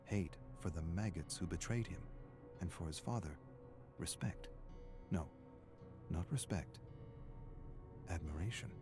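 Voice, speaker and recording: An actor playing a grim storyteller, reading a dark family tale for a video game in a solemn, deliberate, menacing way. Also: male voice, deep voice, over music. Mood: somber. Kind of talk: dramatic scripted third-person narration.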